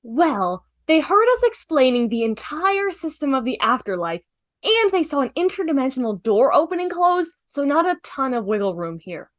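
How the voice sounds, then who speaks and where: A woman's voice: high-pitched, sing-song, moderate pace. A woman playing a chipper, matter-of-fact female assistant in an amateur comedy table read.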